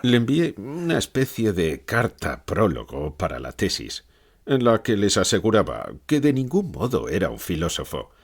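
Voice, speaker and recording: A man acts out an old philosopher answering questions in a dramatized literary interview. The voice is low and very animated in its melody. He reflects with gloomy irony and leans on his words with sardonic emphasis. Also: husky, exaggerated voice. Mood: dry irony.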